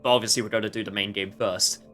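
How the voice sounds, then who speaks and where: A man's voice: lowish voice, fairly even intonation, quick pace, fairly bright tone, soft background music. A man livestreaming a video game with casual commentary, chatting to viewers in a rambling way with jokey asides.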